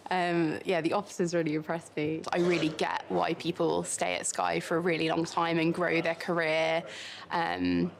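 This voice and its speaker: A woman tech employee answering interview questions in a relaxed, enthusiastic way. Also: fairly low pitch, moderate pace, gravelly, background noise.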